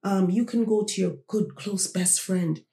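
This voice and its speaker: Gravelly, mellow-voiced podcast host. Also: medium pitch, measured pace, echoey room. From born in Jamaica, raised in Toronto. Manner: reflective, conversational, addresses listeners directly. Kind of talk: casual solo podcast talk.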